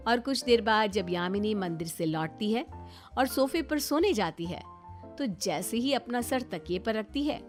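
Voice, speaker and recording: A woman telling a scripted story as a dramatic narrator. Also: mid-range voice.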